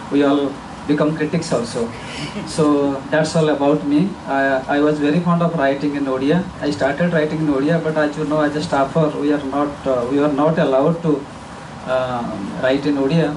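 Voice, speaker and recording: A man's voice: mid-range voice, distant mic, background noise. A man from India, a journalist, introducing himself at a conference in a plain, matter-of-fact way. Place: auditorium.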